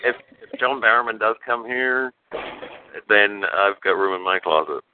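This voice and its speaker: A man's voice: on the low side, moderate pace. A man hosting a podcast, chatting casually and teasing his guests. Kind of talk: casual chat. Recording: tinny phone line with faint hiss.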